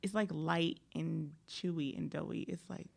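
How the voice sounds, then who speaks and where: Gravelly-voiced woman podcast co-host. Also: deep voice, steady tone, normal speed, a little mellow. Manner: laid-back, rambling, laughs often. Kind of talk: casual chat.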